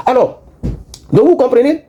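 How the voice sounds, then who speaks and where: Male online commentator, talks straight to viewers, lively and repetitive, mellow tone. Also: very high-pitched, normal speed, dull audio, a little hiss or hum. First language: not French. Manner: animated, repetitive, frequent restarts and fillers. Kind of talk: casual political commentary to viewers.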